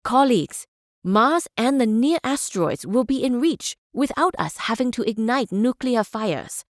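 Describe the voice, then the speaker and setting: An actress playing a measured, idealistic chancellor and scholar, speaking formally and deliberately in scripted game speeches. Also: female voice, on the high side, expressive, dry recording, studio quality. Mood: earnest.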